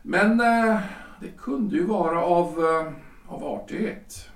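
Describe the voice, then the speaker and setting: A man playing a hesitant, self-important husband in his forties, a lawyer and politician, speaking formally and haltingly in a period audio drama. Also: high voice, distant mic. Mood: hesitant, apologetic. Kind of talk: period stage-play audio drama acting. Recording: roomy, echoing, off the microphone.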